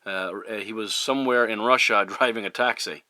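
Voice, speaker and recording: A middle-aged man from Newfoundland, Canada, chatting casually as a CB radio operator, courteous and halting in his phrasing. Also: lowish voice, noisy background. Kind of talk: casual radio contact chat. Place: at a CB radio station. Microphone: radio microphone.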